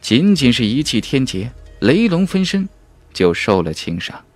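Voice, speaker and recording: A man reading a fantasy novel as an audiobook narrator, in a dramatic, measured and emphatic storytelling manner. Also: medium pitch, very animated, dry recording, faint music behind.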